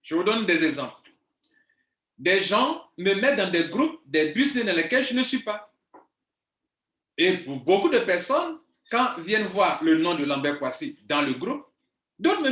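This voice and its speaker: A man's voice: sits high, fairly bright tone. A man, an online business coach talking straight to his viewers in an insistent, repetitive way. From Ivory Coast. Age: 40s. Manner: insistent, emphatic, repeats himself. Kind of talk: video monologue to viewers. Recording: echoey room.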